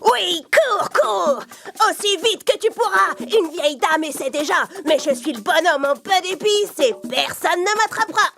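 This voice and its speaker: A woman's voice: very high-pitched, animated, a little slow, gravelly, fairly bright tone, soft background music, heavily acted. A woman voicing a boastful, cheeky little gingerbread cookie boy, taunting in a chanting way in a children's cartoon. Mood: gleeful boasting.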